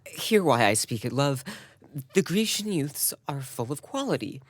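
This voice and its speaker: Young woman as very low-voiced, ardent young male lover. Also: big pitch swings, unhurried, dry recording, clean studio sound, full character voice. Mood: jealous pleading. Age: young adult. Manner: earnest, declamatory verse delivery. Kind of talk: Shakespearean audio drama acting.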